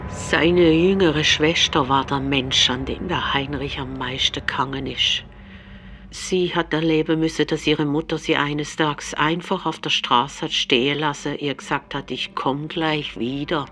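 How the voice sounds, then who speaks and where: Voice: medium pitch, fairly even intonation, unhurried, pushed and bright, slight background noise. A narrator reading scripted documentary narration.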